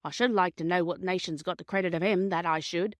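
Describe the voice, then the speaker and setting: A woman's voice: fairly low pitch, steady tone, fast, no room sound, natural voice. Officious storybook station master, male played by woman narrator; blunt, colloquial, dry sound. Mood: grudging, puzzled. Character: gruff officious station master, adult man.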